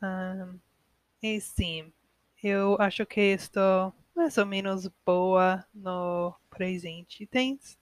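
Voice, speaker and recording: A woman in her twenties from the United States with an American accent, slowly speaking as a language learner, hosting a casual podcast with many pauses and self-corrections. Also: medium pitch, little pitch movement, no echo. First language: English. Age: 20s. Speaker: podcast host.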